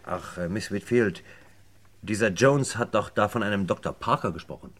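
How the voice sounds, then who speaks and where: An actor playing a businesslike, courteous police detective with a probing, thoughtful manner, in a vintage German radio crime play. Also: male voice, fairly low pitch, moderate pace, close to an everyday voice. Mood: probing, polite. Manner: polite, probing, hesitating while thinking.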